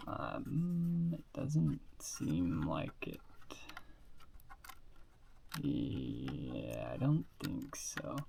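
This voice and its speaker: Sniffly man with a gravelly voice. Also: very deep voice, huge pitch swings, very slow, pauses often, background noise. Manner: rambling, with many fillers and asides. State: sick and sniffly. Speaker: hobbyist reviewing toy building sets. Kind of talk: casual show-and-tell.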